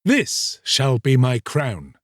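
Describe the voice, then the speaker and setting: A man's voice: mid-range voice, big pitch swings, takes it slowly, dry recording, clean studio sound, pitched above the performer's own voice. A man playing an earnest, solemn young king in a storybook tale, speaking resolutely in formal, archaic phrases. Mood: calm resolve.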